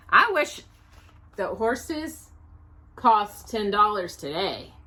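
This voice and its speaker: Bright, lilting woman reading aloud. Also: medium pitch, big pitch swings, drawn-out words. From Texas, United States. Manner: conversational, curious, musing aloud. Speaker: host of a storytime read-aloud show. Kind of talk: reading a children's picture book aloud with chatty asides.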